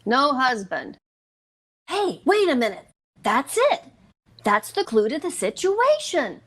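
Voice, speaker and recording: A woman playing an excitable, scheming young housewife in an old-time radio sitcom. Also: high-pitched, takes it slowly, exaggerated voice.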